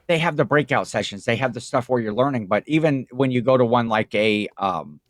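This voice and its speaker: A man co-hosting a casual podcast chat, his voice mid-pitched for a man. He rambles through his points with fillers and restarts. The recording is dry and close, with no room sound. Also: animated, fairly quick, no room sound. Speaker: podcast co-host.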